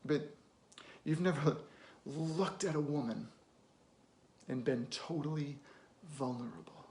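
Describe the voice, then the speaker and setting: Man acting a wounded, blunt therapist, creaky voice. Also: fairly high pitch, takes it slowly, a little mellow, a little hiss or hum. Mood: tender, grave. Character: weary, wounded, blunt middle-aged male therapist. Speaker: actor. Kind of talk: dramatic film monologue performance.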